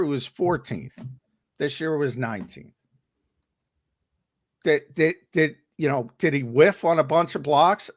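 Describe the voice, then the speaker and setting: A man co-hosting a sports talk show, slightly hoarse because he is losing his voice. He argues his points emphatically and often repeats words as he starts a sentence. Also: mid-range voice. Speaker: co-host and analyst.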